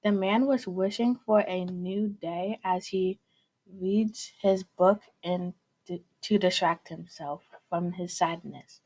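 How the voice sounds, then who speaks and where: A woman reading scripted story narration aloud in a halting manner. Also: on the low side, darkish tone, poor audio. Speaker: student reader.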